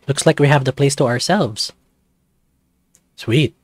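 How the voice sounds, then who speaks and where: A man's voice: medium pitch, unhurried, no room sound, clean studio sound, close to an everyday voice. Siggy, a man doing a laid-back dragon. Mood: casual. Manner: casual and cheeky. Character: playful, laid-back young male dragon.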